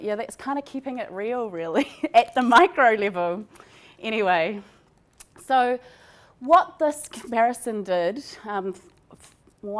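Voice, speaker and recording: A woman with a New Zealand accent presenting research in an informal, conversational academic lecture. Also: mid-range voice.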